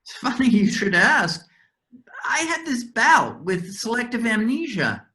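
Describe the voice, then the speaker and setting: A woman's voice: on the high side, big pitch swings, unhurried, sharp tone, full character voice. A woman playing a talkative, droll character recovering from amnesia in a staged play reading. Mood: cheerful. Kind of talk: virtual readers theater play reading.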